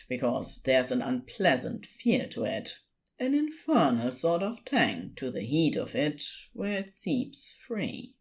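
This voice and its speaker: A narrator reading a scripted story in a deliberate, expressive storytelling manner. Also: medium pitch.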